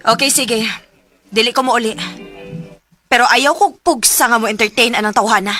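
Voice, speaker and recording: A woman's voice: a little high, normal speed, over loud music, lightly acted. A woman with a Filipino Cebuano accent playing a proud, protective and stubborn young daughter in a radio drama. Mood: grudging. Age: young adult.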